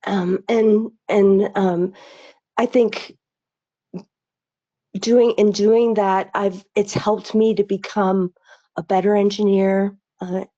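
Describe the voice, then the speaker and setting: A woman's voice: mid-range voice, little pitch movement, slow, long pauses, mellow. A middle-aged woman, an aerospace engineer, speaking earnestly on a video call with many restarts and fillers. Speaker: aerospace engineer, webinar panelist. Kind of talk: reflective webinar remarks. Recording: slightly dull lo-fi call audio.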